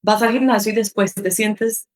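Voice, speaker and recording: A woman of middle pitch speaks as a psychotherapist guest on a podcast. She is thoughtful and conversational, building her points through simple everyday comparisons. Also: steady tone, normal speed. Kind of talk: intimate conversational interview.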